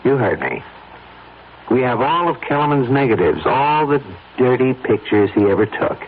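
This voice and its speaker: A male actor plays a coolly menacing persuader from an old radio play. He is pointed and insistent in an average-pitched voice. The recording is narrow and tinny with a faint layer of noise. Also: very animated, moderate pace, natural voice. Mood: quietly threatening. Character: coolly coercive man pressing a reluctant friend. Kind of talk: old-time radio drama acting.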